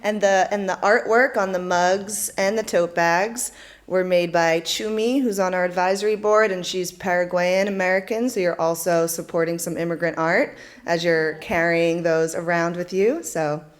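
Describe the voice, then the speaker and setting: A woman hosting a community event, thanking the audience and panelists warmly with many fillers. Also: on the low side, steady tone, moderate pace. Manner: effusive, grateful, many fillers. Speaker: event host. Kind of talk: closing remarks at a community event. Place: indoor event space with audience.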